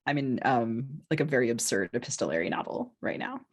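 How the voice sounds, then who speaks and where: A woman's voice: very deep voice, lively intonation, brisk. A woman novelist co-hosting a podcast, talking casually in a hesitant, discursive way with plenty of "like".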